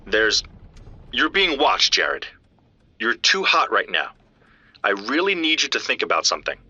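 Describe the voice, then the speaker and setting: A worried friend who pleads urgently over the phone, his husky tone sharp and bright. His pitch swings widely as he presses his point. The sound is dull and lo-fi, as if it were a phone line. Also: sing-song, a little slow, no room sound, heavily acted. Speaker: caller. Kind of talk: audio drama acting.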